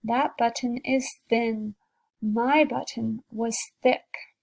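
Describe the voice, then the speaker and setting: A woman's voice: a little high, steady tone, slow pace, gravelly, a little hiss or hum, rough recording. A woman voicing a fussy, easily upset male toad from a storybook, complaining indignantly.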